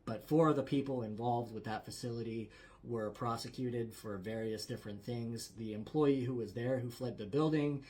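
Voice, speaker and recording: A man's voice: medium pitch, normal speed, warm tone. A man narrating a true story like a campfire storyteller, in a dramatic yet conversational way with emphatic phrasing. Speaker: storyteller, host.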